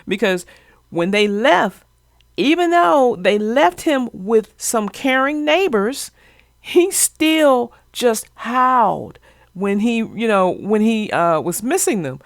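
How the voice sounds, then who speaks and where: Expressive woman with lively, swooping pitch. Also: takes it slowly, no room sound, clean studio sound. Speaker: host of a children's story channel. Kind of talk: conversational story discussion.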